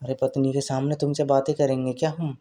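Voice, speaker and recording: Casting: male actor as married flirt, soft mellow tone, mid-pitched, sly innuendo, casual phone-call drama. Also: flat delivery, fairly quick, slight background noise, close to an everyday voice. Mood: teasing, mock-complaining. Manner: teasing, double-meaning banter. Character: flirtatious married man, teasing and suggestive.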